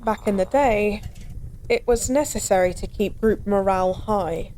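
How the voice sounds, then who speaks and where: A woman's voice: mid-range voice, a little slow, dark tone, a little hiss or hum, close to an everyday voice, poor audio. A woman playing a weary, lonely former goddess in an intimate audio roleplay, speaking wistfully and philosophically in formal, old-fashioned wording. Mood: resigned, bitter. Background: bar ambience.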